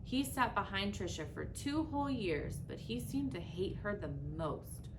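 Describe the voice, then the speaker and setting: A woman reading a children's story aloud expressively, with deliberate pauses and dramatic emphasis. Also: mid-range voice, lively intonation, noisy background.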